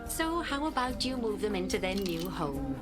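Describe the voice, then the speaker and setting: A woman playing a cheerful, polished zoo mentor in a game tutorial, with playful, encouraging delivery and theatrical pauses. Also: medium pitch, sing-song, lightly acted. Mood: teasing, instructive. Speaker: tutorial guide. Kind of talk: scripted game tutorial narration. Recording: game music behind the voice.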